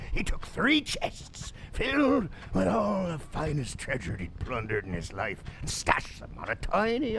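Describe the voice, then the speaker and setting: An actor playing a superstitious old sailor in an audio drama, with salty, archaic, halting speech. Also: male voice, mid-range voice, gravelly, fairly bright tone, slight background noise, exaggerated voice. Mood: secretive, ominous. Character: superstitious old sailor, sly pub storyteller. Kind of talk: theatrical audio drama acting.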